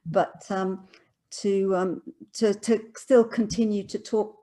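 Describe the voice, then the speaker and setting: A woman interviewer on a video call, with a mid-range voice. She affirms her guest warmly in words and builds long, searching questions. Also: mid-range voice, fairly even intonation, slow. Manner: encouraging, affirming responses. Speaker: interviewer host. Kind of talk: casual interview conversation.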